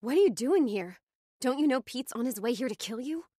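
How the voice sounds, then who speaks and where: A woman's voice: high-pitched, expressive, a little fast, dark tone, slightly rough, dry recording, clean studio sound. An actress playing a young woman friend of the hero in a video game, teasing and affectionate. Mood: alarmed.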